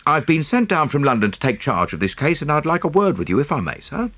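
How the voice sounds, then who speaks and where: Casting: male reader as Scotland Yard inspector, genial and businesslike, teasing edge, very mobile pitch. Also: big pitch swings, fast talker, fairly bright tone, no echo. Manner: soothing, jovial, matter-of-fact. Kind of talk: audiobook character acting.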